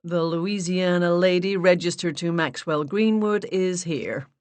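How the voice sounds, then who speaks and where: A woman's voice: lowish voice, unhurried, a little mellow, clean tone, dry recording, natural voice. A woman voicing a polite but firm young receptionist. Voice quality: courteous, clipped refusals.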